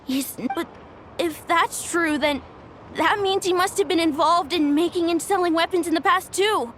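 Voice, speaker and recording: A woman's voice: very high voice, normal speed, a bit bright, a little hiss or hum. An actress playing an earnest young girl in a video game, speaking in a youthful, hesitant way. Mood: upset, hesitant.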